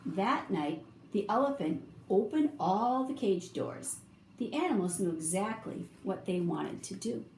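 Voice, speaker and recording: A woman reading a children's picture book aloud, with expressive, drawn-out emphasis for young listeners. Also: medium pitch. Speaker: storybook reader. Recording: roomy echo, faint background noise.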